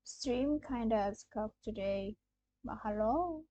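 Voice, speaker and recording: A woman's voice: a little high, slow pace, no room sound. A young woman from Malaysia chatting casually as she streams a game. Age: young adult. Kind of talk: casual live-stream chat while playing. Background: soft game music.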